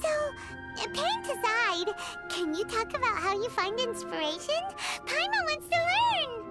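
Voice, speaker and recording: A woman voicing a tiny, excitable fairy companion girl who talks about herself in the third person, eager and pushy, in a video game cutscene. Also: very high voice, unhurried, full character voice. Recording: game cutscene over loud background music.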